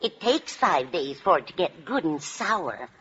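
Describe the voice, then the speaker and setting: A woman's voice: deep voice, sing-song, measured pace. An actress playing a fussy, motherly, scatterbrained landlady in a 1940s radio comedy. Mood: cheerful. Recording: dull, noisy vintage radio recording.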